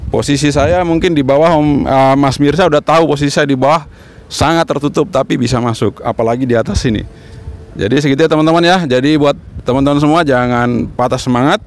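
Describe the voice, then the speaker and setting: A man's voice: mid-range voice, quick pace. A man in his forties with an Indonesian accent, explaining repeatedly and casually as a radio operator giving a hands-on demonstration outdoors. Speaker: amateur radio operator, instructor. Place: open rooftop in a town, outdoors. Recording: very noisy outdoor recording.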